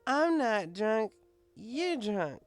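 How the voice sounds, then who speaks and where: Man putting on a slurring, high-pitched drunk girl pony. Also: very animated, very slow, soft background music, exaggerated voice. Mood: drunken indignant. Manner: drawling, rambling drunken talk. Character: drunk, giggly young female pony, boastful and affectionate. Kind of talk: audiobook character voice.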